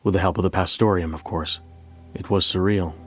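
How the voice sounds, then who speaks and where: A man narrating a scripted first-person story as a bank manager, in a measured, reflective way with deliberate pauses. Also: deep voice, steady tone, faint music behind. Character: bank manager recounting a memory heist.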